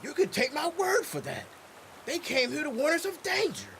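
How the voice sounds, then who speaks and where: An actor playing an excitable small-town sheriff in an old-time radio western. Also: male voice, very high voice, normal speed, vocal fry, a little hiss or hum, exaggerated voice. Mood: reassuring, commanding.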